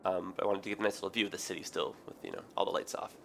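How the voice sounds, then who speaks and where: Hoarse, tired man with a gravelly voice. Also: low-pitched, little pitch movement, rapid-fire, fairly bright tone, a little hiss or hum. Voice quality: raspy. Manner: rambling, many ums and self-corrections. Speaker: gaming video host. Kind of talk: casual let's play commentary.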